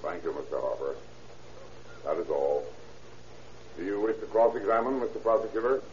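A man playing a courteous, methodical defense attorney in an old-time radio courtroom drama, speaking formally and deliberately. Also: sits low, fairly even intonation, a little mellow, close to an everyday voice. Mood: confident, formal. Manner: formal, deliberate, politely lawyerly. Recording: echoey, muffled old radio recording, faint hiss.

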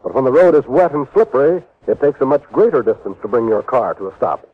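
An American man announcing on mid-century radio, reading a script in a measured, earnest, emphatic manner. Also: medium pitch, sing-song. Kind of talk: 1950s radio announcing, scripted public service message. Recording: dull vintage radio recording.

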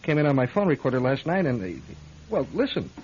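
Frightened young man, male radio actor: jittery, evasive, halting delivery, wide pitch swings, muffled sound. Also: sing-song, close to an everyday voice. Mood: fearful, guarded. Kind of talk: radio drama acting. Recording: dull lo-fi recording, faint noise.

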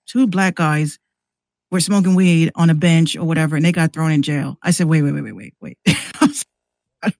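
An American woman chatting casually and candidly in a slangy, animated way, acting out exchanges as she tells stories. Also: sits low, normal speed, gravelly, no echo, clean studio sound. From New York City, US. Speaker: podcast guest, rapper and radio show host. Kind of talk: casual conversational storytelling.

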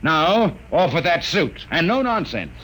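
Cocky, animated American smoothie. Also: male voice, a little high, unhurried, over loud music. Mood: smug. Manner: swaggering, slangy, smug. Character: cocky, suave artificial double of a timid man. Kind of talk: classic radio drama acting. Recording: noisy vintage radio recording.

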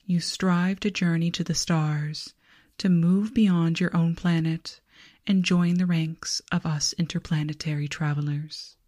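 Woman doing alien girl scientist asmr, soft breathy whispering, low n mellow, slow and formal, calm but kinda condescending. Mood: musing, condescending. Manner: slow, soothing, formal, clinically detached. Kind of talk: ASMR roleplay, hypnotic whispering and soft speech.

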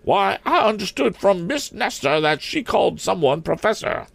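A man's voice: high-pitched, huge pitch swings, moderate pace, creaky, dry recording, voice pushed up, full character voice. A man voicing a stern, indignant old maid school principal who talks in a haughty, commanding, formal way.

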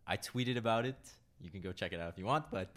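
A man appearing as a guest on a sports podcast, talking in a relaxed, slightly hesitant way. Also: fairly low pitch, fast. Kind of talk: casual sports chat.

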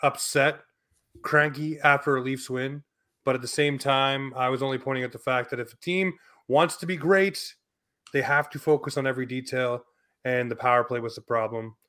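A man's voice: mid-range voice, steady tone, moderate pace, bright, forceful tone. Blunt man talking sports casually. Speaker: sports podcast host. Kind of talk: casual opinionated sports talk.

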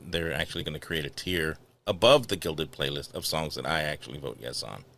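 A man's voice: very deep voice, a little fast, gravelly, bright, forceful tone, no room sound. A man hosting a casual podcast chat, teasing and picky about music. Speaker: podcast host.

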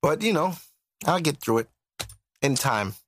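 A man's voice: on the high side, lively intonation, unhurried, a bit bright. A man in his thirties co-hosting a podcast, talking candidly, joking and reflective. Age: 30s. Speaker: podcast co-host. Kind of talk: casual chat.

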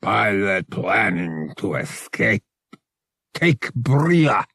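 A huge, furry feline alien guard speaks in a grim growl. The words come very slowly, in halting broken phrases, with heavy menace and a close, dry sound. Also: male voice, on the low side, sing-song, pushed and bright, breathy edge, no echo, exaggerated voice. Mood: menacing accusation. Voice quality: growling, snarling. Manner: slow, halting broken speech in third person. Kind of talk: audiobook character voice.

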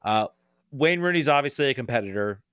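A man's voice: mid-range voice, animated, fairly quick, fairly bright tone, clear, resonant tone, no echo, clean studio sound. A man talking as a podcast guest in casual chat, hesitant and often repeating words as he thinks aloud.

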